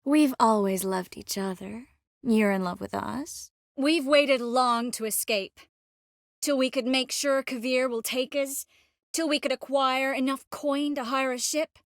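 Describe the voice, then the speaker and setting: A woman voicing an earnest, tender, quick-witted young sorceress in dramatic fantasy video game dialogue. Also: high-pitched, no echo, studio quality. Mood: resolute.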